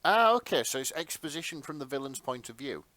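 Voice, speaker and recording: Lively-pitched British man in casual chat. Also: quick pace, no echo. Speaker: podcast co-host.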